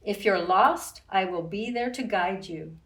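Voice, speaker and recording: A woman reads to young children in an expressive, unhurried storytime style. She speaks directly to her little listeners as a librarian host would. The recording is roomy and echoing, with her voice some way from the mic. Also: medium pitch. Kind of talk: children's storytime read-aloud with sing-song rhymes. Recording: roomy echo, distant from the mic.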